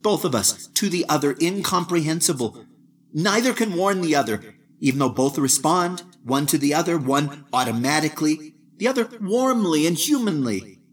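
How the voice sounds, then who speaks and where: A man voicing a cocky young man of twenty, mocking and lively in his talk. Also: a little high, moderate pace, husky, far from the mic, pitched above the performer's own voice. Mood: mocking cool.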